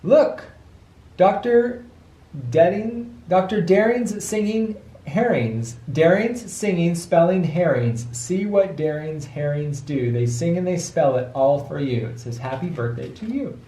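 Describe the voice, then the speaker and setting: A man's voice: fairly high pitch, animated, measured pace, mellow. A man reading a children's rhyming book aloud as a father would to his child, sing-song with patient asides, picked up by a camera microphone a little way off. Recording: roomy echo, distant camera microphone.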